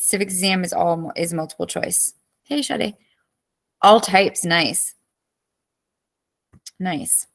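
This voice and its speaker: A woman's voice: medium pitch, fairly bright tone. A woman in her thirties teaching a live online class, chatty and greeting students by name, with her voice cracking as she loses it.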